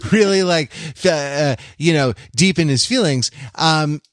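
A man co-hosting a podcast in casual discussion, rambling and halting as he thinks his points through. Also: a little high, no room sound, clean studio sound. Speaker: podcast co-host.

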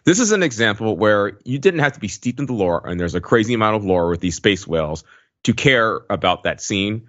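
Low-voiced man in casual podcast discussion. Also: animated, brisk, fairly bright tone, no echo, dull audio. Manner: hedging, filler-heavy phrasing with frequent "like" and "right". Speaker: podcast co-host. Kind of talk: casual analytical pop culture discussion.

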